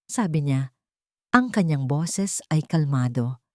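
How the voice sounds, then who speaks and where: A woman with a Filipino accent reads a dramatic story from a script. Her voice sits low for a woman, and the recording is dry and close, with no room sound. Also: lively intonation, slow pace, dry recording. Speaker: narrator.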